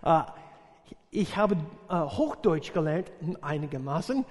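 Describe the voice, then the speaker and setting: High-voiced American man preaching haltingly. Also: very animated, a little mellow. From USA. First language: English. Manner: halting, searching for words, many fillers, jokes with congregation. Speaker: visiting missionary preacher. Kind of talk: sermon. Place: church service hall. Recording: reverberant church hall.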